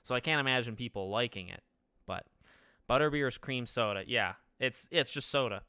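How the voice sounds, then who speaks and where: A man's voice: mid-range voice, moderate pace, bright, forceful tone, smooth, dry recording, clean studio sound. A young man with a nasal voice, hosting a casual gaming live stream from his living room, rambling and asking his chat questions. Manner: conversational, rambling with restarts, asks chat questions. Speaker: gaming YouTuber streaming host. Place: living room with couch behind.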